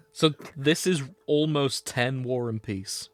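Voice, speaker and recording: A man's voice: mid-range voice, takes it slowly. A man hosting a podcast, chatting in a casual, comedic way. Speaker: podcast host.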